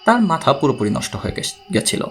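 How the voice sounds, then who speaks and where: A man playing a troubled, courteous man who gravely recounts his own strange story in an audiobook. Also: mid-range voice, sing-song, fairly quick, soft background music, lightly acted. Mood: pained, restrained.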